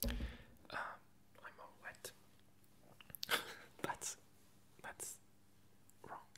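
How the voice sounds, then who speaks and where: Slow, bright ASMR whisper. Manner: hesitant, halting, self-correcting. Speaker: ASMR video creator. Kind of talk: whispered ASMR, casual rambling chat.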